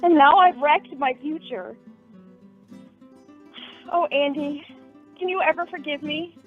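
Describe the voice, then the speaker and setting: A woman's voice: sits high, slow. A woman acting an emotional, pleading young sweetheart in a radio play, earnest and melodramatic. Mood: pleading, distraught. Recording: tinny narrow-band audio, faint music.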